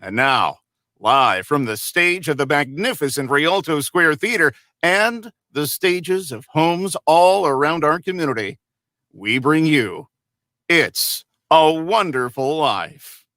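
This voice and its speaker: A man's voice: fairly high pitch, animated, slow, sharp tone, no room sound, clean studio sound, heavily acted. A man playing a formal radio host and narrator, with theatrical old-time announcer delivery. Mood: genial, formal.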